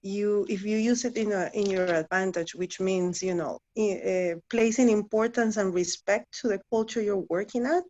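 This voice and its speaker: A woman in her thirties from Guatemala, a native Spanish speaker, chatting as an interview guest with many halting restarts. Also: mid-range voice, darkish tone, muffled recording. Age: 30s. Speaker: interview guest, former client. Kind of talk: casual interview chat.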